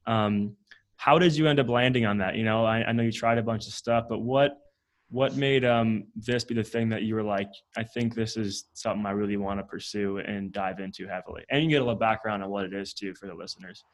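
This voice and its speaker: A man's voice: fairly low pitch, steady tone, fairly quick. A man hosting a podcast, asking curious questions in casual, friendly talk. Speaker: podcast host.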